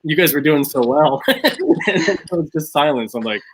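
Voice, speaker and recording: A man's voice: fairly high pitch, wildly swooping pitch, moderate pace. A man chatting casually as he hosts and directs an online play reading. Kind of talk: casual chat. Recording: faintly noisy online call.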